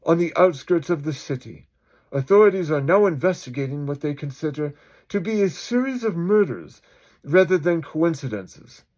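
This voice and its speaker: A man's voice: a little high, lively intonation, normal speed, a little mellow, voice pushed up, natural voice. A man playing a serious, urgent television reporter delivering a breaking news bulletin within a story.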